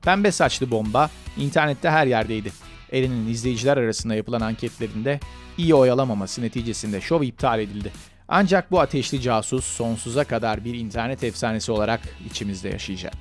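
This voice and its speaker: Male narrator for a countdown list, mid-range voice, scripted read over soft music. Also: medium pitch.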